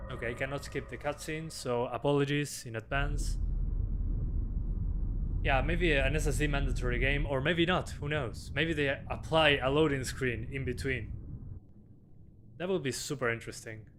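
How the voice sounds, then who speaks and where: A man's voice: mid-range voice, moderate pace, noisy background. A man from Uruguay, casually commentating a game livestream, chatting with viewers and laughing often. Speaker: game streamer. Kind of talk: live gameplay stream commentary. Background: game music and cutscene audio.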